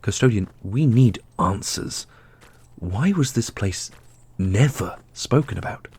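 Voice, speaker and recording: Guy doing an earnest fantasy warrior, low husky voice, formal and guarded. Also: animated, takes it slowly, darkish tone, no echo, heavily acted. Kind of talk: fantasy audio roleplay.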